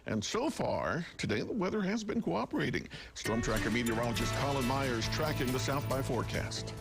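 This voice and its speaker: A man's voice: medium pitch, big pitch swings, fairly quick, vocal fry, over music, poor audio. An American man anchoring a television newscast, reading stories and chatting lightly with colleagues. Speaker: TV news anchor. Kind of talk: broadcast news reading with light co-anchor banter.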